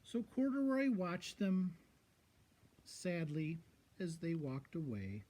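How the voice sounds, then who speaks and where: A man reads a picture book to children as a guest storyteller. His delivery is very animated, with a mellow, slightly husky voice, and he often turns to ask young listeners questions. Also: fairly high pitch, slow pace. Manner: expressive, child-directed.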